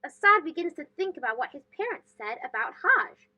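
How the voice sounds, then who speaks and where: A woman reading a children's storybook aloud as host, expressive and phrased for young listeners. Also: very high-pitched, fairly quick.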